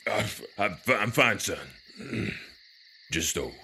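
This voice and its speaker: Frail old man, very deep. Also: lively intonation, takes it slowly, creaky, pushed and bright, a little hiss or hum, heavily acted. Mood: weary. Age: older. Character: frail old man, weary, resigned to dying. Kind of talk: audio drama acting.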